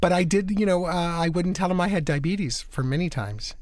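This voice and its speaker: Man with a high, cartoonish voice. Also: dry recording. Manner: animated, jokey, quick asides. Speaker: co-host, voice actor. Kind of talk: casual chat on a talk show.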